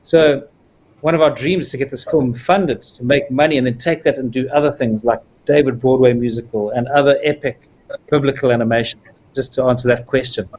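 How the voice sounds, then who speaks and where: A man's voice: mid-range voice, steady tone, a little fast, a little mellow, a little hiss or hum, low-quality recording. A man with a South African accent, a film producer answering viewer questions at length on a livestream, with frequent fillers.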